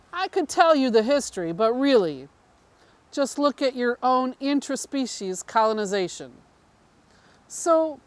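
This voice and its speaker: A woman's voice: a little high, dry recording. A woman reading the part of an undercover alien woman and devoted mother, explaining her mission in an earnest, wry, matter-of-fact way. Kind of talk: first-person story reading.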